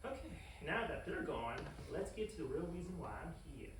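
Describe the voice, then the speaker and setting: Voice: mid-range voice, sing-song, brisk, distant mic, natural voice. An actor reading a whiny, scheming scientist with a melodramatic, flustered manner at a comedy animation table read. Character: whiny, scheming, dramatic scientist Doctor Villalobos. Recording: echoey room from afar, faint noise.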